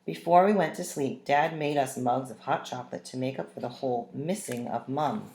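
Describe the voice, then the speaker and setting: Low-voiced woman reading a children's storybook aloud. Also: moderate pace, background noise. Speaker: read-aloud narrator. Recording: roomy, echoing room sound.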